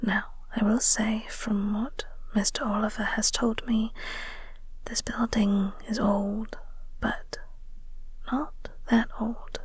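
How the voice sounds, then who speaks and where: A woman playing a flirty, caring coworker in an intimate audio roleplay, speaking softly and gently in a breathy, reassuring way. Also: medium pitch, little pitch movement, takes it slowly, no room sound. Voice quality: soft, breathy, whispery.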